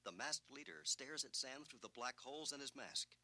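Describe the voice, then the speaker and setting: A man's voice: medium pitch, lively intonation, moderate pace, sharp tone, no echo. A man giving theatrical, suspenseful scripted narration for a 1980s story record. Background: music.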